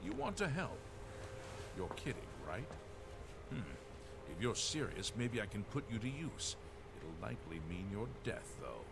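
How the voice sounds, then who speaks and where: A grim guard captain. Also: male voice, on the low side, sing-song, measured pace, full character voice. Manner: gruff, urgent and despairing. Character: grim male guard captain defending a burning city. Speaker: soldier commander. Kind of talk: video game voice acting. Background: game music.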